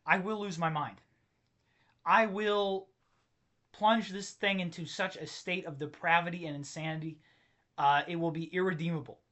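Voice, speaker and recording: A man with a slightly high, bright, forceful voice rambles through a comedy podcast, tripping over his own sentences as he gets worked up. His voice sounds tired and strained from overuse. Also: normal speed. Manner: rambling, self-interrupting, excitable. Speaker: podcast host. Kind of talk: solo comedic rant podcast.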